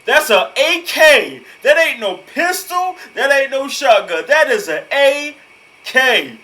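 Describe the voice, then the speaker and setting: A man's voice: extremely high pitch, sing-song, takes it slowly, pushed and bright. A man giving casual, emphatic reaction commentary, repeating words for effect. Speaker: host reacting to a horror video.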